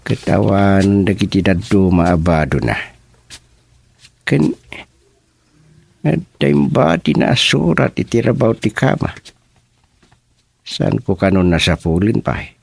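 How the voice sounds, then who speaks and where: An actor playing a flustered, brooding middle-aged husband in an Ilocano radio comedy drama, with an Ilocano accent and a hesitant, muttering delivery. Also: male voice, lowish voice, vocal fry, a little mellow, breathy edge, dry recording, heavily acted.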